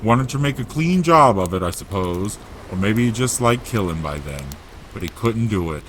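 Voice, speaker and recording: A man playing a gruff, kindly, grieving and protective giant with folksy, rough grammar in an audiobook drama. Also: fairly low pitch, expressive, moderate pace, fairly bright tone, a little hiss or hum. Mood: sorrowful.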